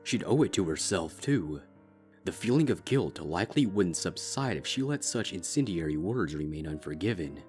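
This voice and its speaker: Deep-voiced male story narrator. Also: expressive, normal speed, a little mellow, soft background music. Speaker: fanfic reader. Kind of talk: scripted narration.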